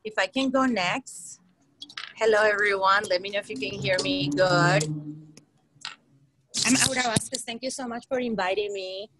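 A woman's voice: medium pitch, moderate pace, long pauses, background noise. A young woman from Colombia speaking in a panel discussion over a video call on an earbud headset, with many fillers.

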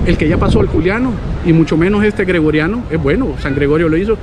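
Middle aged guy, high voice, solemn spiritual guide talk, loud street noise outside. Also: fast. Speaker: Maya calendar keeper and spiritual guide. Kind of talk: explaining ancestral tradition to a visitor. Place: outdoors in a large city plaza. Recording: loud outdoor traffic and city noise.

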